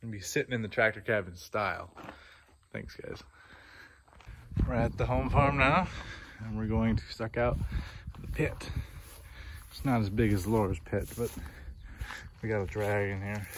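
A man's voice: fairly low pitch, normal speed, pauses often. A young American man giving a plain-spoken, informal vlog narration as a farmer out in his yard, talking into a handheld phone. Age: young adult. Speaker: farmer vlogger. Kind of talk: casual vlog narration while showing farm work. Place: outdoors on a farm among grain bins and machinery. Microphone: handheld phone at arm's length. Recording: muffled phone audio, loud machinery and outdoor noise.

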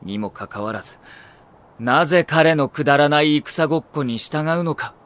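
A man's voice: fairly high pitch, normal speed, close to an everyday voice. A man playing a cool, condescending military strategist with refined, superior diction in an audio drama. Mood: haughty. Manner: soft-spoken condescension, commanding shouts. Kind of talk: Japanese anime-style audio drama acting.

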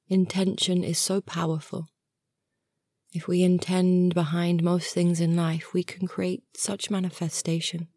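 A woman guiding a meditation in a soothing, gentle and unhurried manner, soft and breathy at the ends of phrases. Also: on the low side, dry recording, clean studio sound. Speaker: yoga nidra meditation guide, channel host. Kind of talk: guided meditation narration.

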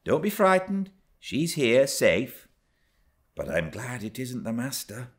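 A man's voice: medium pitch, very animated, slow pace, voice pushed up. A man voicing a kindly rustic woman servant, speaking reassuringly in homely dialect.

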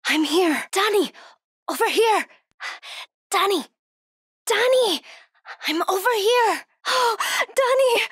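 An actress playing a frightened, devout young woman hiding from killers in a horror video game, urgent, breathy and pleading. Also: very high voice, fairly bright tone, no echo, clean studio sound, full character voice. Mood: urgent relief.

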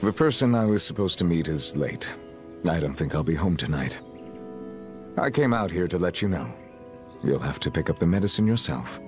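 A man voicing a stern, calm swordsman mentor in a video game, measured and instructive. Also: sits low. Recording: music under the dialogue.

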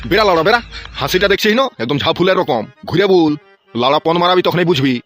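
Cartoon grandpa, male actor: old, blustery, foul-mouthed villager, rural West Bengal accent, high-pitched male voice, music underneath. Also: expressive, fast talker, fairly bright tone. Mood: scolding. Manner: crude, scolding, blustery. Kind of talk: comedy cartoon dubbing. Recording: music behind the voice.

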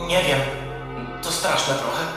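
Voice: mid-range voice, steady tone, slow, very echoey, big-room sound, over music, natural voice. An actor playing a timid, fussy little furry creature, nervous and earnest, in a children's audio drama. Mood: frightened.

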